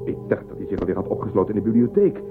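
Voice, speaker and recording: A man playing a measured, authoritative spaceship commander in a science fiction radio drama. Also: fairly low pitch, very animated. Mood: commanding. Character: male spaceship commander, calm authority, questioning. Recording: lo-fi phone-like band, music behind.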